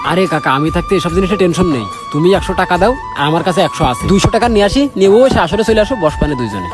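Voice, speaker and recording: A man's voice: sits high, lively intonation, rapid-fire, over music. A young man with a rural Bangladeshi accent playing a brash, shifty layabout in an amateur drama. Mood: chummy, scheming. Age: young adult. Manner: cocky, chummy. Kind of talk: amateur Bengali short drama acting.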